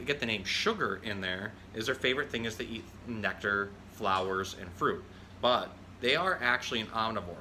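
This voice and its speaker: A man hosts a children's animal storytime in the role of a zookeeper. His voice is bright and forceful, and he explains things conversationally, moving between casual teaching and reading aloud. Faint noise sits behind him. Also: fairly low pitch.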